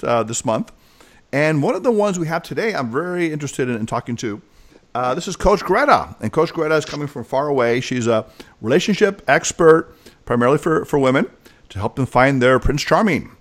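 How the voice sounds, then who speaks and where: Fast, animated American man hosting. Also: on the high side. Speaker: host, clinical psychologist and speaker. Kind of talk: podcast interview hosting.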